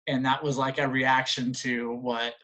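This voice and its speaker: A man in his late thirties chatting casually as a guest, halting and often restarting his sentences. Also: mid-range voice, little pitch movement, bright, forceful tone. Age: late 30s. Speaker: returning panel guest. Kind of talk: casual chat.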